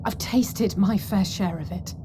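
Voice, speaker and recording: A woman voicing an earnest, resolute young heroine in a video game, measured and heartfelt. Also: medium pitch, background noise, lightly acted. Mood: firm empathy. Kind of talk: scripted video game cutscene acting. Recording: game music under the voice.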